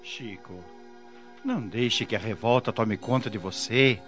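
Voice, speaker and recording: A man acting in a radio drama as a responsible, steady adult brother, speaking earnestly. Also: lowish voice, measured pace, soft background music. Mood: grieving, steadying. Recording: dull lo-fi radio drama audio.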